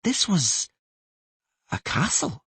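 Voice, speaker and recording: A man's voice: high voice, wildly swooping pitch, slow pace, gravelly, fairly bright tone, breathy edge, dry recording, pitched above the performer's own voice, exaggerated voice. A man voicing a stubborn, anxious young girl who questions everything in a fantasy audiobook. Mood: puzzled. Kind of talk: audiobook character voice.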